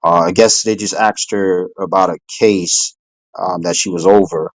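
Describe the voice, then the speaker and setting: Low-voiced man moderating, hesitant. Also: fairly even intonation, normal speed, dry recording, rough recording. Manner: hesitant, full of fillers and "right?". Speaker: moderator of an online discussion room. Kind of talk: casual moderating and commentary.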